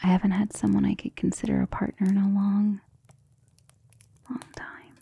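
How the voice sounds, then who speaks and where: A woman voicing a centuries-old vampire lover in an ASMR romantic roleplay, soft, breathy and often whispering, intimate and gentle, with formal wording. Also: mid-range voice, monotone, moderate pace. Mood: tender, vulnerable. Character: centuries-old female vampire lover, tender, protective, self-assured.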